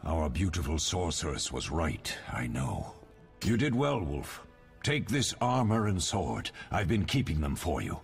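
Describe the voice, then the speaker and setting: Deep-voiced, expressive old mentor. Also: male voice, vocal fry, faint music behind, exaggerated voice. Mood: approving, instructive. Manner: measured, instructive. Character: wise older male mentor of monster hunters, fatherly. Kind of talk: video game voice acting.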